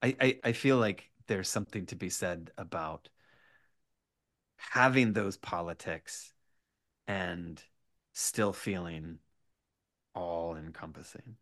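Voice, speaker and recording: A man speaking as a guest writer in a casual podcast interview, animated and rambling, halting often and swearing freely. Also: low-pitched.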